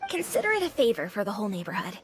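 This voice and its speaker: A woman's voice: mid-range voice, sing-song, rapid-fire, lightly acted. A woman voicing a kindly female restaurant owner in a video game, a polite hostess who explains things at length. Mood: friendly. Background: game music.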